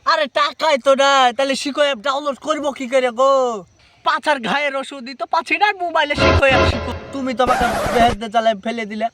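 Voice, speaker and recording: A man's voice: extremely high pitch, steady tone, fast, pushed and bright, soft background music. A man playing an aggrieved, grumbling village uncle in an amateur comedy skit, wailing. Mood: exasperated.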